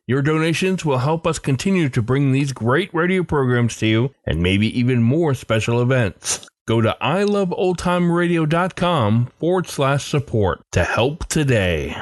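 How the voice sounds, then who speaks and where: A man's voice: mid-range voice, no echo, studio quality. A man reading a scripted podcast introduction as the show's host.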